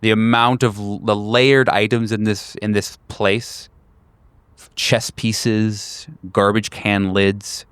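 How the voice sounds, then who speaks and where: A man with a low, smooth voice runs a horror tabletop game. He narrates scenes descriptively and deliberately, breaking his phrases with pauses, in a very dry close recording. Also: flat delivery, fairly bright tone, dry recording. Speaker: game master.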